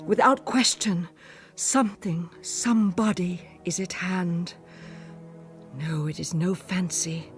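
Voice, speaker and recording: A woman's voice: lowish voice, slow. An actress playing a weary, brooding older noblewoman reading her journal aloud in a radio drama, solemn and dramatic. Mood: weary, foreboding. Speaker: actor. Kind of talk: radio drama diary monologue. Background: music.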